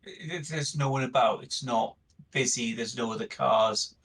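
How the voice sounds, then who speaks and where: A man with a gravelly, husky voice talking casually as a player in a tabletop role-playing game. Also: mid-range voice, normal speed, fairly bright tone.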